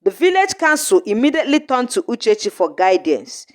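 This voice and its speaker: A woman narrating a scripted folktale in short, measured phrases with an earnest storybook delivery. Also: fairly high pitch, sing-song.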